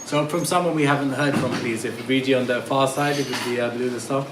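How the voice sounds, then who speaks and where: Brief: male panel moderator, mid-pitched, businesslike, in a reverberant hall with faint noise. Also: steady tone, a little fast. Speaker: moderator of the forum. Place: large echoey hall. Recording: echoey hall.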